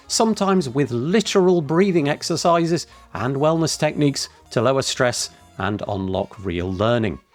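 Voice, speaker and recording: A man hosting a podcast, reading a scripted introduction and then interviewing his guest. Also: fairly high pitch. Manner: lively, rising and falling delivery. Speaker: podcast host.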